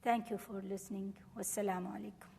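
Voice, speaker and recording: A woman from Syria whose first language is Arabic, giving a measured, earnest university lecture and answering questions. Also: medium pitch, fairly even intonation, vocal fry, dark tone, in a large hall, a little hiss or hum. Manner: measured, earnest, formal phrasing. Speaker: visiting scholar and lecturer. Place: lecture room.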